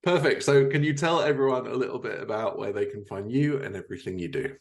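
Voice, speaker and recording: A man's voice: medium pitch, fast. A man hosting a podcast interview in a casual, informal and encouraging way. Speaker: podcast host.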